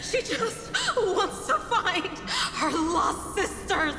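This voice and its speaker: A woman voicing a deranged female fanatical creature in an anime-style game, halting and manic, breaking into laughter and sobs. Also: extremely high pitch, lively intonation, exaggerated voice. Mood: gleeful, unhinged. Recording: echoey long reverb, loud music.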